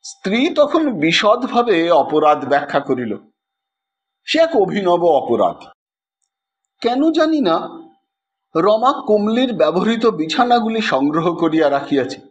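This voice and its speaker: Expressive high-voiced male story narrator. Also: a little slow, long pauses. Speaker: audio story narrator and channel host. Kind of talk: dramatic literary story narration. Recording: dull lo-fi track with faint noise.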